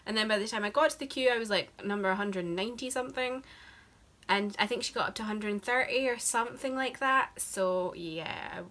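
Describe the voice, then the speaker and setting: A woman's voice: a little high, fairly quick, bright, forceful tone. A young woman, chatting to camera as a book vlogger. She has a cold and sounds nasal, and she rambles with lots of fillers. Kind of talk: casual chat to camera.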